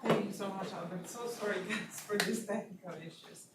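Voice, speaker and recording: A woman presents an academic seminar, speaking in a mid-range voice and often pausing on fillers as she restarts her phrasing. She is recorded from a distance in an echoey seminar room, with clear noise underneath. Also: mid-range voice, steady tone. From Bosnia. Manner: frequent fillers and restarts, slightly non-native phrasing. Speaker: research fellow giving a seminar talk.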